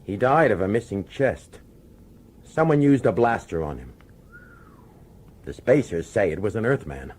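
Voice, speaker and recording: A man's voice: fairly low pitch, expressive, unhurried, long pauses, a little mellow, breathy edge. An actor playing a middle-aged male police commissioner in a radio drama, nervous and insistent, pleading with urgency. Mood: grave. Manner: urgent, hesitant, pleading authority.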